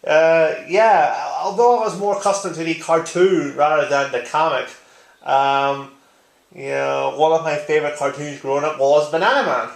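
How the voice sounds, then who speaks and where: A man's voice: a little high, fairly even intonation, normal speed, vocal fry. Casual man in an echoey room. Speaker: video blogger. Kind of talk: casual tag-response vlog chat. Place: living room, indoors.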